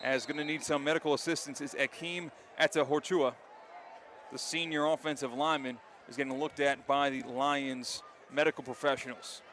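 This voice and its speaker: An American man calling live football play-by-play, descriptive and animated, from an outdoor stadium. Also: medium pitch, moderate pace, a faint crowd. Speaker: play-by-play announcer. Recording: live stadium broadcast with loud background noise. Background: loud stadium noise.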